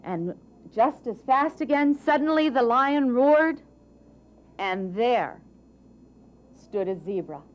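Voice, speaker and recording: Higher-voiced woman telling a children's story. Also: expressive, slow pace, long pauses, dull audio. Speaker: narrator and presenter of a puppet show. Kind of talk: expressive children's storybook narration.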